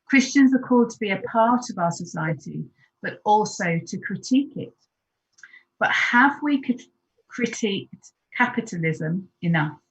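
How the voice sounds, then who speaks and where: A woman hosting an online discussion, briskly reading out questions and inviting panelists to speak. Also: medium pitch, expressive. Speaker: discussion host. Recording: dull lo-fi audio.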